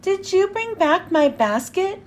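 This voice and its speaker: A woman's voice: very high voice, sing-song, measured pace, a little hiss or hum, pitched above the performer's own voice, heavily acted. A woman voicing a sweet, wide-eyed little girl in a children's storybook, innocent and tentative. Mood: pleading.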